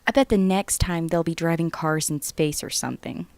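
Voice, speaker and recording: A woman's voice: deep voice, normal speed, gravelly, a little mellow, no echo. A woman playing a casual, lightly mocking girlfriend in a horror audio drama. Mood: teasing. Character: casual, teasing girlfriend watching action movies.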